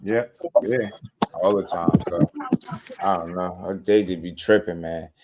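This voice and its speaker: A man hosting a casual boxing talk show, animated and slangy, breaking into laughs as he riffs on fights. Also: low-pitched, unhurried, very noisy. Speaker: boxing podcast host.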